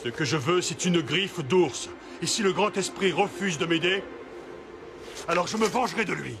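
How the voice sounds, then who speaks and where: A man's voice: sits high, normal speed, noisy background. A man voicing a proud, scornful, hot-headed young hunter in a children's cartoon, dramatic and boastful. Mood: scornful, vengeful. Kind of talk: animated children's series acting. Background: loud music.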